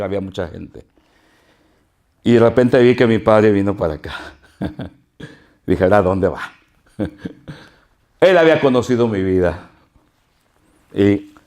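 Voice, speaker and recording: Older mexican pastor, folksy sermon story, chuckles here and there. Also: male voice, on the low side, darkish tone. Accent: Mexican Spanish, northern.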